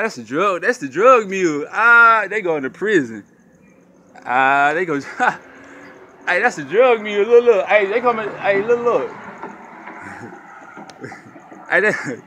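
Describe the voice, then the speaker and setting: A man giving casual, excited commentary outdoors, slangy, taunting and often repeating himself. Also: high-pitched. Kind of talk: casual excited street commentary while filming. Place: outdoors at night.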